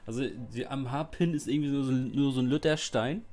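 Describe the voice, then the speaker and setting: A man giving casual let's play commentary, thinking aloud in a halting way. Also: medium pitch, a little mellow. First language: German. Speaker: gamer, let's play host. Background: game music.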